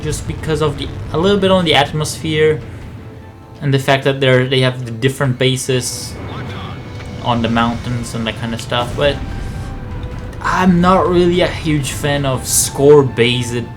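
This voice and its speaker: A man giving casual, rambling video game commentary, thinking aloud in a conversational way. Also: on the high side.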